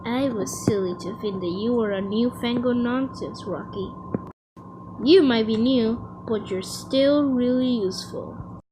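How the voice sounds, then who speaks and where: A woman's voice: fairly high pitch, unhurried, background noise, lightly acted. A woman voicing an earnest, kindly but anxious steam engine character from a children's cartoon, with a fretful, exclamatory delivery. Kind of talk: animated children's series character lines.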